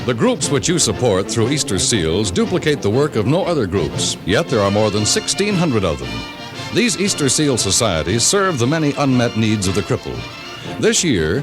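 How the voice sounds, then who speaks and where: Vintage radio announcer, male, American; formal, measured wording, mid-pitched with lively inflection, musical backing. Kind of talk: 1950s scripted radio announcing.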